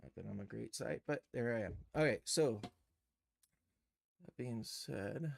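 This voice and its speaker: A man giving an unscripted software tutorial on a livestream, halting and thinking aloud as he works. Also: mid-range voice, animated, creaky, breathy edge, dry recording. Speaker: livestream host and game developer.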